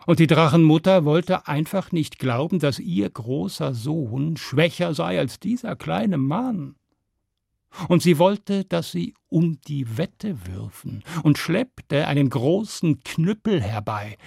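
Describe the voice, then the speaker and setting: A male storyteller narrates a children's fairy tale at an unhurried pace. His voice is creaky and husky, and he uses deliberate pauses and dramatic emphasis. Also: a little high, expressive, a little mellow, dry recording, clean studio sound.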